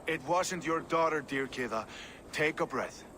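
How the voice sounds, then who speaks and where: A man playing a steady, wry Viking ally in a video game cutscene, calm and understated. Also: on the high side, measured pace, slight background noise. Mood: calm, reassuring.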